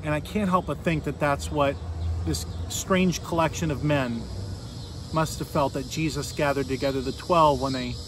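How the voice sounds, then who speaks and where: An American man in his forties preaching an informal sermon as a pastor, earnest and conversational, with pauses and rhetorical questions. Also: on the high side, fairly even intonation. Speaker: Lutheran pastor. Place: outdoors beside a fallen tree near a street. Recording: outdoor noise, insects and vehicles.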